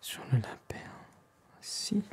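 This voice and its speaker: Man acting as a hushed, slow, courteous French tailor. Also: close to an everyday voice. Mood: calm, attentive. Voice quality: soft, hushed, often whispered. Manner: polite, formal, unhurried salesman-like. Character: courteous, attentive male tailor serving a client. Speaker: ASMR roleplay performer. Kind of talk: whispered ASMR roleplay.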